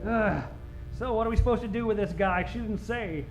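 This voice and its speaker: A complaining gangster tough from a forties radio crime spoof. His voice is extremely high, he wisecracks in old slang, and faint music plays behind him. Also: brisk, poor audio. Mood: grumbling.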